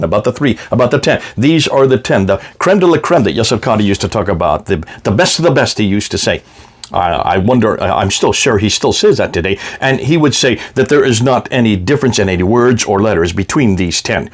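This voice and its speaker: Combative man arguing to camera. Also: lowish voice. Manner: combative, emphatic, with frequent restarts. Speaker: apologetics video host. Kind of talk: argumentative commentary to camera.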